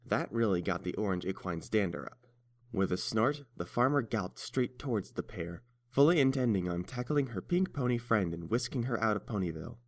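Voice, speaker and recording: Low-voiced male story narrator. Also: animated, brisk, no echo.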